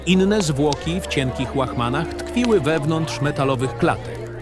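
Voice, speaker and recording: Male narrator for fantasy audio drama: world-weary baron recounting dark memories, expressive range, clearly scored with music. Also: on the high side, unhurried, crowd noise. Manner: grave, literary storytelling.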